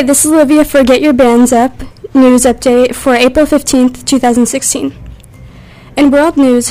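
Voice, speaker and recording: A woman reads a scripted radio news update with care and the odd small stumble. Her voice is on the high side and stays very even in pitch. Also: low-quality recording. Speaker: newsreader.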